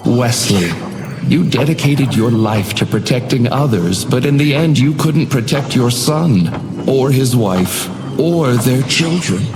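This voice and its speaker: A man's voice: medium pitch, lively intonation. Sinister, swooping, echoing hotel doorman. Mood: accusing. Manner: theatrical, taunting, measured, silky menace. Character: sinister, genteel hotel doorman tormenting guests. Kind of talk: video game character voice lines, monologue. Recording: echoing voice over music. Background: music.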